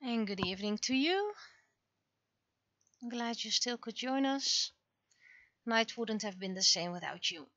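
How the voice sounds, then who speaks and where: Woman, slightly high bright voice, halting casual livestream chat, thinking aloud, short phrases, dry close sound. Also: expressive, no room sound, highs cut off. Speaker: livestreamer and webcomic artist.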